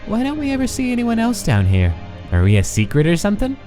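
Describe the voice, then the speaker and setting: Clever young skeleton boy , voiced by a woman: mellow, highly animated, questioning, childlike, for a dramatic dub. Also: fast, soft background music. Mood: inquisitive. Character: bright, inquisitive child-like male skeleton test subject. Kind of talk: dramatic comic dub acting.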